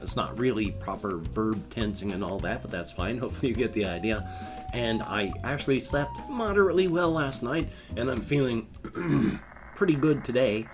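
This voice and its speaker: Nasal, wheezy older man vlogging. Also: on the low side. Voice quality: nasal, congested. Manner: rambling, self-mocking with flat "yay"s. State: wheezy from a cold. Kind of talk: casual solo vlog. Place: at home in his chair. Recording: guitar music in background.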